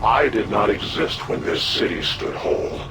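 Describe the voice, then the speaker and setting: An actor playing a grave, formal soldier in a video game, with solemn, stilted phrasing. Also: male voice, fairly low pitch, animated, moderate pace, over loud music. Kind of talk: scripted video game dialogue.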